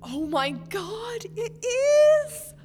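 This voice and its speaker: A woman's voice: high-pitched, expressive, slow pace, noisy background. A woman playing a dramatic, earnest, lovestruck teenage girl in a comedic audio drama, gushing and theatrical. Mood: smitten, confiding. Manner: gushing, theatrical, exasperated with family.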